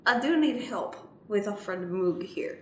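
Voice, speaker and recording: A woman's voice: mid-range voice, expressive, unhurried, a little mellow, reverberant, lightly acted. A woman playing a breezy, earnest middle-aged lawyer in an improvised comedy radio play.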